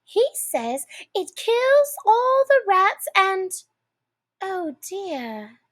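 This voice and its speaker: A polite, chatty little girl from a storybook, voiced by a woman. The voice sits very high with a lilting, animated melody. She is eager and apologetic, rambling on in an unhurried way. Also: heavily acted. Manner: apologetic, soothing, dreamy rambling. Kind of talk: storybook character voice.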